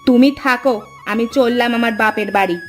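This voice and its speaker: Scolding village wife voiced by a woman: highish pitch, curt, impatient, cartoon delivery over music. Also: fairly quick, close to an everyday voice. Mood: scornful. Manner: curt, nagging. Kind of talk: cartoon fairy-tale voice acting. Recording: music bed under voice.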